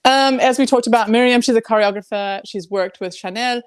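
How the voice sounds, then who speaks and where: A woman chatting casually over a video call, opinionated and quick to laugh, with many fillers. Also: fairly high pitch, clean tone, no echo, studio quality. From Germany. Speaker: co-host guest commentator.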